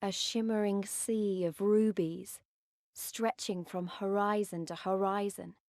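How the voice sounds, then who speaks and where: A wistful game narrator, very dry. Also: female voice, medium pitch, steady tone, darkish tone, no echo. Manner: measured, reflective, storytelling. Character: wistful woman narrator recalling a far-off homeland sea. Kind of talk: video game cutscene narration.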